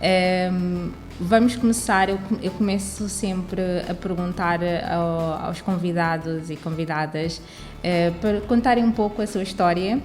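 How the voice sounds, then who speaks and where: A woman's voice: fairly low pitch, steady tone, unhurried, a little mellow, faint music behind. Chatty young Angolan woman hosting. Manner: chatty, with frequent "eh" fillers. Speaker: podcast host. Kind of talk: podcast interview.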